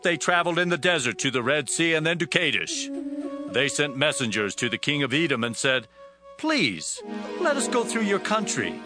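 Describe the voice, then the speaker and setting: A man doing a defiant outcast warrior chief in a dramatized scripture drama. The voice sits a bit high and swings widely in pitch as he presses his case. Music plays steadily behind him. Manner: forceful, challenging questions. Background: background music.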